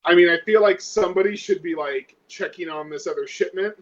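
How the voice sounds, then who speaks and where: Muffled lo-fi male gamer, slightly high voice, chatting casually as a player. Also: a little fast. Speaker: tabletop game player. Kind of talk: casual table chat.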